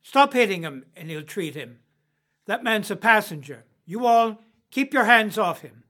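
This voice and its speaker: A man's voice: sits high, expressive, unhurried, pushed and bright, voice pushed up, full character voice. A man voicing a curt, cool-headed male passenger who speaks brusquely in a storybook reading. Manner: brusque, clipped.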